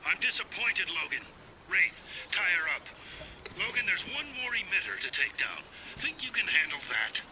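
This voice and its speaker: A cold military colonel from a video game, giving orders in a high voice. The delivery is curt and authoritative. Loud noise sits behind the words. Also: male voice, very animated, moderate pace. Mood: stern, cold. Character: cold, commanding, ruthless male military colonel. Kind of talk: video game cutscene acting.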